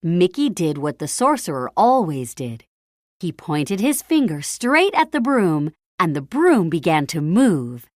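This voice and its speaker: Animated, unhurried woman storyteller. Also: fairly low pitch, dry recording, studio quality. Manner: deliberate, dramatic storytelling with emphatic phrasing. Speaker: storybook narrator. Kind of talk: expressive children's read-aloud narration.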